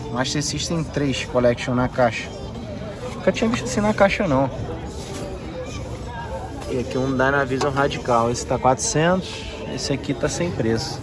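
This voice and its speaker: A man with a Carioca accent vlogging from an outdoor flea market, talking excitedly in quick slangy bursts and exclaiming often. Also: mid-range voice. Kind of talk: casual handheld market vlog. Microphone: phone camera held in hand.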